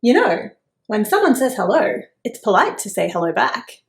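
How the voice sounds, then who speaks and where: A woman's voice: fairly high pitch, very animated, moderate pace, gravelly, reverberant. A woman voicing a cheerful, friendly little worm in a read-aloud picture book, eager and chatty. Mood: cheery. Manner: bright, eager.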